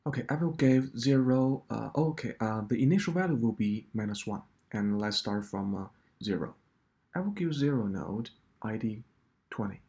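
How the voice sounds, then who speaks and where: A man walking through a coding solution step by step, in a halting explanatory way. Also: lowish voice, lively intonation, dark tone. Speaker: programming tutor.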